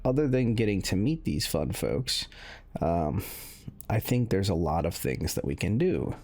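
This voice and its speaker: A man giving casual, unscripted commentary as a gaming video creator, explaining things in a halting way. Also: deep voice, big pitch swings, darkish tone, soft background music.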